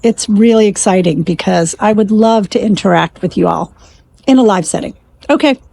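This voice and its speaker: A woman's voice: medium pitch, moderate pace, creaky, warm tone. A woman content creator chatting to her followers about plans to start live streams.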